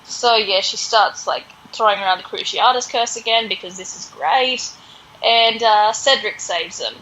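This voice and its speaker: A woman's voice: medium pitch, normal speed, fairly bright tone, highs cut off, slight background noise. A woman hosting a podcast, casually recapping a story in a sarcastic, exasperated way. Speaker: podcast host.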